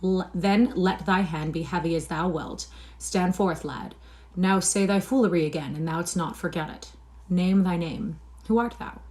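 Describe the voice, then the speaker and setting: A woman reading aloud and voicing a brutal, rough middle-aged father with loud, bullying jeers. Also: fairly low pitch, unhurried, lightly acted. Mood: menacing.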